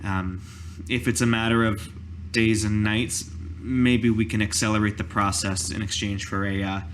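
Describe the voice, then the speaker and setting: A man voicing a formal druid master in a tabletop game, speaking in deliberate, professorial phrases. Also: lowish voice, fairly even intonation, a little hiss or hum, natural voice. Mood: formal, instructive. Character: formal, measured male druid master giving lessons.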